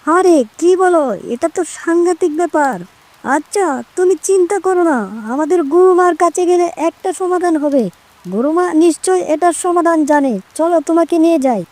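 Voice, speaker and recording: Woman voicing very high-pitched cartoon female creature. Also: moderate pace, a little mellow. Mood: concerned. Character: female cartoon characters: fairy, mother fox, friendly little bird. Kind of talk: children's cartoon dubbing.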